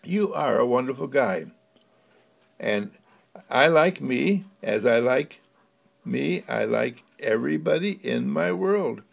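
A man's voice: mid-range voice, lively intonation, slow, creaky, exaggerated voice. A man voicing a group of happy young children in an eager, sing-song, gushing manner.

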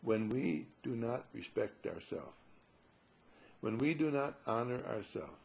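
A man speaking as a spiritual teacher in a husky, gravelly voice, posing rhetorical questions and repeating phrases for emphasis. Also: medium pitch, lively intonation, a little slow. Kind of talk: spiritual talk with parables.

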